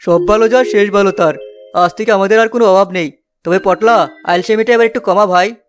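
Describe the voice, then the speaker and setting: A performer voicing a gentle, worried village elder brother in a children's cartoon, soothing and concerned. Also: medium pitch, a little fast, lightly acted. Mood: relieved. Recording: loud music under the voice. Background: loud music.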